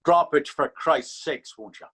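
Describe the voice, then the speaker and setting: A man's voice: a little high, measured pace, fairly bright tone, slightly rough. An actor in a readers theater drama playing a stern, weary policeman, admonishing and authoritative. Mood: irritated. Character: stern, weary Irish male beat policeman, bullying. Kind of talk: readers theater stage acting.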